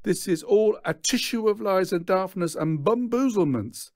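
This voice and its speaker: A pompous storybook gang boss, acted out by a male reader, full of suspicion and bluster. He speaks emphatically, with a very lively, swooping pitch. The sound is dry. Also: measured pace, no echo. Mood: suspicious, blustering. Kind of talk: children's storybook character voice.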